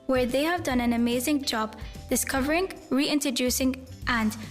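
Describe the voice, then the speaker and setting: A young woman from the UAE reads a scripted podcast part with excitement. Her voice is high, and faint music plays behind her. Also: normal speed.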